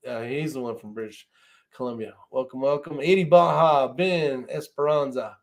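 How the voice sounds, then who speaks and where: A man's voice: mid-range voice, normal speed. A man hosting a casual live-stream chat, talking in an informal, loose and rambling way. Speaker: host of a shooting live chat show.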